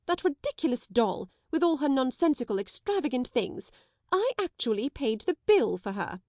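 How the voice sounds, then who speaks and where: A woman's voice: a little high, animated, normal speed, no echo. A woman voicing a stern, domineering middle-aged schoolmistress in an audiobook, cold and scolding, in a dry recording. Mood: harsh. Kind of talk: audiobook character voice.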